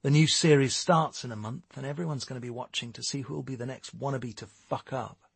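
A man's voice: medium pitch, steady tone, a little fast, gravelly, slightly rough, no echo. A man voicing a domineering, manipulative woman boss in an audiobook, with a cutting, taunting manner. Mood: dismissive. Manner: taunting, cutting, mocking endearments.

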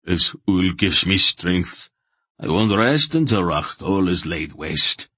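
A man's voice: deep voice, lively intonation, slow, pushed and bright, breathy edge, no room sound, exaggerated voice. A man voicing a fanatical zealot in a fantasy audiobook, muttering in a strangled, fervent way. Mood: disgusted, fervent.

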